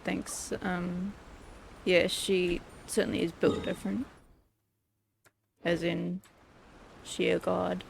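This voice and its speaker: A woman's voice: lowish voice, gravelly, slight background noise. A woman live-streaming a game with casual commentary, teasing and wry in manner. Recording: game music behind the voice.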